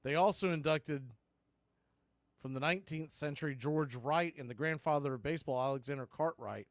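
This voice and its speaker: A man hosting a baseball broadcast, giving play-by-play commentary in clipped phrases with deliberate pauses. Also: a little high, no room sound, studio quality. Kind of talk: live play-by-play commentary mixed with history recap.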